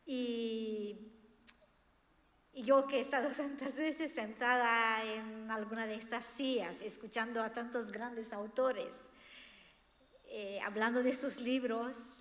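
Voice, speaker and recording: A woman whose native language is Romanian speaks in a fairly high voice, with long reverb from the hall around her. She is earnest and expressive, sharing a personal talk as an author addressing an audience. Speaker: author presenting her book. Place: hall of a cultural institution, before a seated audience.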